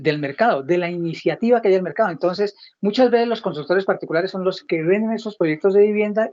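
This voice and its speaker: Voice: mid-range voice, a little mellow, muffled recording. A government official explaining programs in a didactic way, posing questions and then answering them. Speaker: government housing ministry official. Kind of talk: explanatory talk on housing programs.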